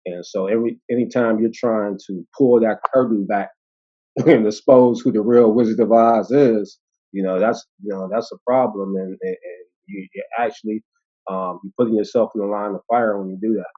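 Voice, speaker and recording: A man in his fifties with an American accent, telling stories as an interview guest, animated and laughing, with many fillers. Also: fairly low pitch, normal speed. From United States. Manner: animated, laughing, acting out quoted voices, many fillers and restarts. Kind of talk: casual storytelling conversation. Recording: dull lo-fi audio.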